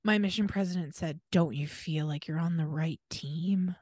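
A woman's voice: low voice, normal speed, darkish tone, no echo, studio quality. An American woman co-hosting a casual podcast, telling stories in an irreverent, exasperated way with emphatic asides. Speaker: podcast co-host.

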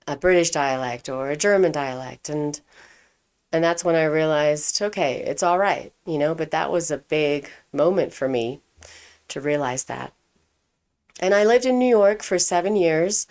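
A woman's voice: low voice, darkish tone, clear, resonant tone. A young adult woman from Europe, telling her life story in a chatty, casual way with frequent fillers.

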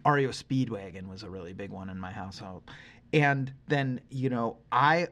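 A man's voice: mid-range voice, fairly bright tone. A man in his forties chatting casually as the co-host of a podcast. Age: 40s.

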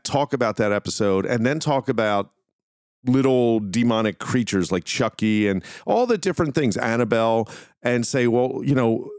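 A man's voice: mid-range voice, darkish tone, no echo, clean studio sound. A man hosting a solo podcast, talking casually and informally in a meandering way. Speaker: podcast host.

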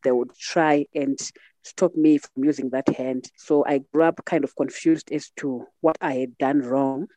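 A middle-aged woman with a Zimbabwean accent shares personal memories as an interview guest. Her voice is soft-edged and mellow, and the recording is close and dry. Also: extremely low pitch, steady tone, unhurried, no echo. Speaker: podcast guest. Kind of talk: reflective personal storytelling in an interview.

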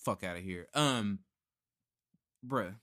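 A man hosting a casual sports chat, talking in an animated, profane way and reacting quickly. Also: fairly low pitch.

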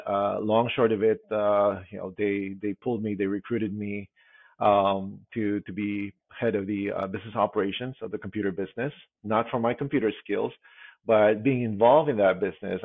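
A man in his fifties, a guest on an interview podcast, answering in a halting way with many pauses and restarts, heard over a tinny remote line. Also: lowish voice, gravelly, dry recording. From Philippines. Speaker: guest, security executive.

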